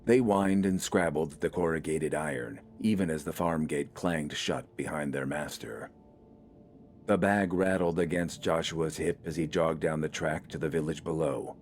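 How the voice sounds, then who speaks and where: A man's voice: a bass voice, moderate pace, a little hiss or hum. A man reading scripted fiction as the narrator of an audio story. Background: faint music.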